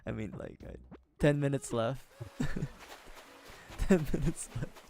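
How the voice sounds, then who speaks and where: A hoarse man streaming a game, chatting casually in a rambling, joking way with frequent laughs. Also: medium pitch, slow pace, warm tone. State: hoarse, sore throat. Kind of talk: casual gameplay commentary. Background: game music.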